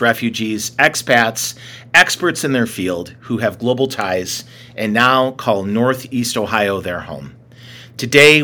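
A man's voice: mid-range voice, fairly bright tone. A man hosting a podcast, reading a friendly welcome and asking his guest questions. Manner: friendly, reads scripted intros. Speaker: podcast host. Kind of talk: podcast interview.

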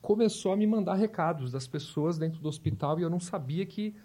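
Mellow-voiced man in his fifties. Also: high-pitched, brisk. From Porto Alegre, Brazil. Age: 50s. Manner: reflective, storytelling, with repeated restarts. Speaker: guest, volunteer hospital clown. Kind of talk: interview conversation.

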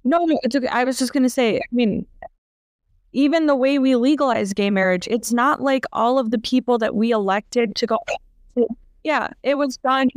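A woman hosting a discussion podcast, talking in a conversational way with an edge of frustration. Her voice is clear and smooth, a little higher than most women's, and heard close and dry. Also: normal speed, no echo. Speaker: podcast host.

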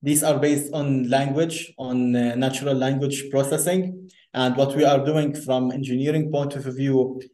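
A man's voice: mid-range voice, flat delivery, unhurried, few pauses, dark tone. A man who grew up in Lebanon, talking as an interview guest, often repeating himself and restarting sentences. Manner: repeats words, many restarts. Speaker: guest engineer. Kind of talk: conversational interview. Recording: echoey room.

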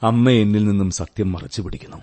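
Man acting brooding husband in a radio play, low dark voice, resigned n bitter, measured. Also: natural voice.

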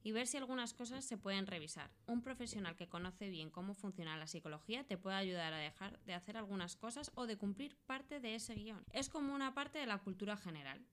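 Bright-voiced Spanish woman chatting casually. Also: mid-range voice, expressive, fairly quick, hardly any pauses. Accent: Spain. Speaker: podcast co-host. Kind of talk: casual chat.

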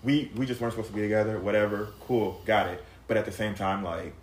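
Guy in his 30s, lowish voice, rambly and raw, lots of likes and restarts, roomy echo. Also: steady tone, fast talker. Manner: emotional, rambling, many fillers and restarts, swears freely. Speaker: podcast guest sharing a personal story. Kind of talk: casual chat. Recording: echoey room.

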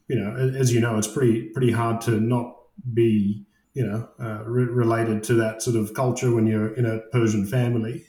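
Man, late thirties, interview guest, halting with ums and restarts, mid pitch, roomy echo. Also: little pitch movement, mellow. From Iran, raised in Australia. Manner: hesitant, many fillers and restarts. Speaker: guest, construction project manager. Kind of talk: casual interview conversation.

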